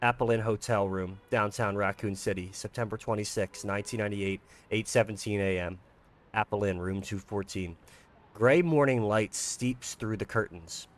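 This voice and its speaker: A man's voice: on the low side, moderate pace. A man who talks casually about his own visual novel and reads its narration aloud. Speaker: creator reading own fan-made visual novel. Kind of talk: casual intro, then dramatic read-aloud narration.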